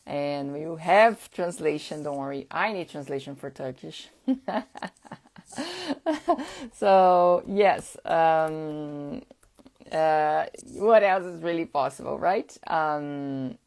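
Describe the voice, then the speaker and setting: A woman whose first language is Portuguese, hosting a casual live-stream chat at an unhurried pace, with frequent fillers. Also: low-pitched, creaky, darkish tone. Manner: unhurried, chatty, many fillers. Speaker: host.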